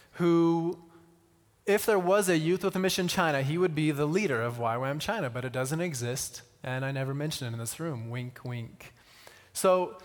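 A man giving a conversational sermon with personal stories, using deliberate pauses and repetition and touches of wry humour. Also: a little high. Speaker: missionary speaker. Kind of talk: sermon with personal storytelling to an audience. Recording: reverberant hall.

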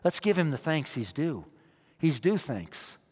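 A man preaching a sermon as pastor, emphatic, repeating his phrases and swinging between quiet and loud. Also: a little high, sing-song, measured pace, slightly rough, long reverb tail. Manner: emphatic, repeats phrases, rises and drops in loudness.

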